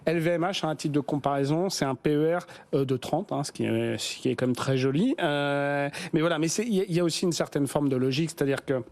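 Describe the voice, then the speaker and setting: A French business journalist explaining stock valuations in a TV studio, pausing often with fillers and restarts. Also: male voice, fairly high pitch, a little mellow. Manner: hesitant, many fillers and restarts. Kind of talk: studio business news discussion.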